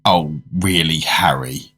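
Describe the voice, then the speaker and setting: An actor playing a polite male dinner guest in a radio drama, narrating his macabre tale in a measured, genteel way. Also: extremely low pitch, pushed and bright, slightly rough, heavily acted. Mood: incredulous. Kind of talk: radio drama, first-person narration and dialogue.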